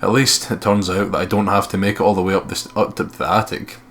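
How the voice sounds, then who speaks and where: A man's voice: low voice, little pitch movement, quick pace, a bit bright, a little hiss or hum. A man reading game text aloud and commentating casually on a let's play, blunt and sweary.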